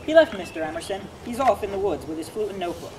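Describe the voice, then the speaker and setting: A woman's voice: medium pitch, lively intonation, fast, natural voice. A woman reading the part of a wry, motherly woman servant in an amateur dramatic reading. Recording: distant voice, outdoor traffic noise. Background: traffic noise.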